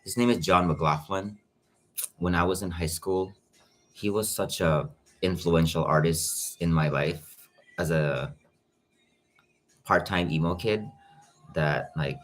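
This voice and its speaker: A man's voice: sits low, unhurried, pauses often, a little hiss or hum. A young man with a Filipino accent chatting casually on a livestream, greeting viewers by name as he talks. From Philippines. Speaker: YouTube reactor.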